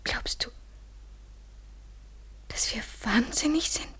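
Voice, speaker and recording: A woman's voice: fairly high pitch, slow pace, a bit bright, slightly rough, full character voice. An actress playing a fragile, anxious woman in love in a literary German radio play, hesitant and intimate, often near a whisper. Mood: anxious, pleading.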